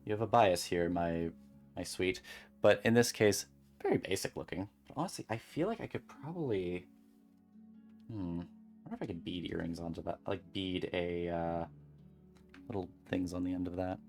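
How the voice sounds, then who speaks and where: A streamer chatting casually and calmly while beading at a home desk, rambling gently with many fillers. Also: low-pitched, normal speed, faint music behind. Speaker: crafting streamer.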